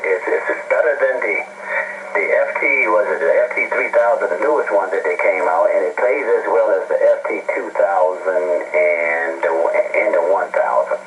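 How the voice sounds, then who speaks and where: A man's voice: sits low, moderate pace, lo-fi sound. Rambling folksy man over noisy radio. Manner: folksy, rambling storyteller with repeated phrases. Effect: two-way radio transmission. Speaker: amateur radio operator. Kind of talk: casual radio chat with call signs and sign-offs. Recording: noisy shortwave radio transmission with static.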